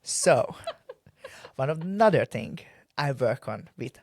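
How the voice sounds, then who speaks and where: Hungarian-accented man, young, cheeky podcast banter, very animated pitch. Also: measured pace, background noise. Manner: playful, blunt and teasing. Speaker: podcast co-host. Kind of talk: casual podcast chat.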